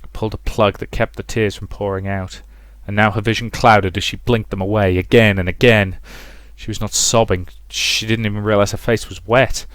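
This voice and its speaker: Low-voiced man narrating dramatically. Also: fairly even intonation, moderate pace, hardly any pauses, a bit bright, dry recording. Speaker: let's play narrator.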